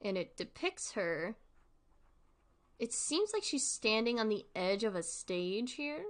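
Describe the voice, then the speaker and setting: A woman's voice: fairly high pitch, very animated, slow. A woman streamer giving casual livestream commentary in an informal, rambling, giggly way.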